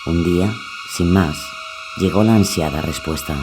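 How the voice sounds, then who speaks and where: A man's voice: low voice, moderate pace, over music. A man reading scripted dramatic narration for a true-crime story.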